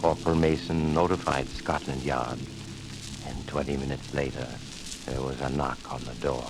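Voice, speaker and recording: An actor playing an eerie doctor who narrates grim tales, measured and ominous, in 1940s radio narration style. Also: male voice, a bass voice, level tone, low-quality recording. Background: music.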